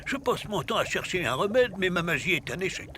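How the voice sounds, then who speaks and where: An actor playing a grumbly but kindly old magician priest in a cartoon, theatrical and grandly formal. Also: male voice, high voice, very animated, fairly quick, sharp tone, a little hiss or hum, heavily acted. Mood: pained, grumbling. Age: older. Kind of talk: French cartoon voice acting. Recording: music behind the voice. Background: music.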